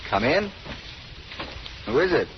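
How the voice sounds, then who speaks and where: A man's voice: fairly high pitch, big pitch swings, slow pace. A man playing a brusque, wry hospital patient in an old radio drama, speaking in clipped lines. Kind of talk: 1930s-40s radio drama acting. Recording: muffled, noisy old radio recording.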